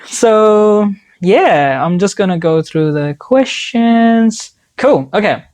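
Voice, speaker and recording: A man's voice: high voice, expressive, slow pace, darkish tone. A man hosting a podcast, asking questions casually and hesitantly, with plenty of fillers. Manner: hesitant, many fillers and restarts. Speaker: podcast host. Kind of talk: casual interview.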